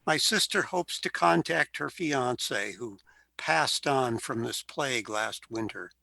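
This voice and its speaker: A man acting in a radio-style play as a polite, well-bred young gentleman of the 1920s, with formal manners. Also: medium pitch, expressive, a little slow, creaky, dry recording, heavily acted. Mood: explanatory.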